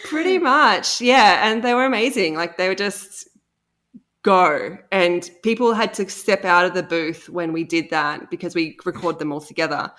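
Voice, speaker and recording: A woman's voice: fairly low pitch, moderate pace, a bit bright. A woman chatting casually and effusively as a guest on an interview podcast. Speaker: interview guest, audio drama co-creator.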